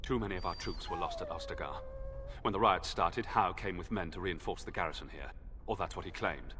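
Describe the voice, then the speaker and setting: A man's voice: deep voice, huge pitch swings, fairly quick, background noise. An actor playing an arrogant, desperate imprisoned young nobleman in a video game, haughty and pleading, with music behind him. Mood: bitter, dismissive. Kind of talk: dramatic video game acting.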